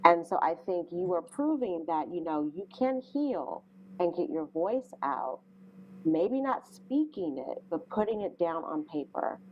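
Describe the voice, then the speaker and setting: A woman's voice: on the low side, sing-song, measured pace, dull audio, a little hiss or hum. A woman co-hosting an interview, speaking earnestly and thoughtfully with a big voice and many fillers. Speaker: co-host. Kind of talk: interview questions.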